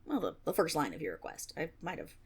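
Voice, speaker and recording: A woman's voice: deep voice, huge pitch swings, fast talker, heavily acted. A woman playing an eager, nervous young female tiefling rogue in an intimate fantasy audio roleplay, rambling and stammering with a teasing streak. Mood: earnest, eager. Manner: nervous rambling with stammers, sighs and breathy giggles.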